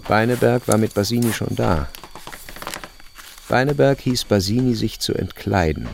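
A man's voice: fairly low pitch, normal speed, creaky, slight background noise. A man narrating a literary radio play from a script. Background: faint music.

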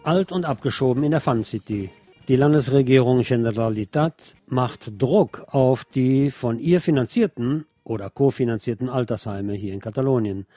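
A man's voice: mid-range voice, normal speed, mellow, dry recording, soft background music. A man presenting scripted news as a commentator, with a sardonic, ironic manner. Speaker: presenter of a local news programme.

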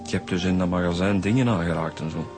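A man's voice: sits low, fast, music in the background, natural voice. A man with a Flemish accent playing an informal, practical police inspector with a questioning manner in a radio crime drama. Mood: probing.

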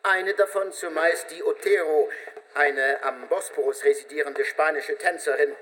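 A man's voice: a little high, moderate pace, a bit bright. A man giving dramatic scripted radio narration with emphatic, theatrical phrasing, in a reverberant hall sound.

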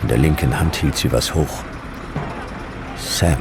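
An actor playing a world-weary, sardonic detective, narrating a radio drama in dry, deadpan short sentences. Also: male voice, very deep voice, measured pace, noisy background.

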